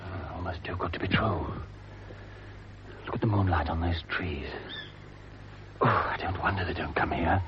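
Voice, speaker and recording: An actor playing a curious, cultivated gentleman adventurer with a wry, understated manner in a 1950s British radio drama. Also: low voice, normal speed, long pauses, lightly acted. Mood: hushed, curious. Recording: muffled, noisy vintage radio recording.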